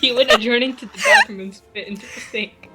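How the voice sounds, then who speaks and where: A woman's voice: on the high side, wildly swooping pitch, fairly quick. A woman doing a casual dramatic reading with friends, giggly and often breaking off to comment on the text. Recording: music playing behind the voice. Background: music.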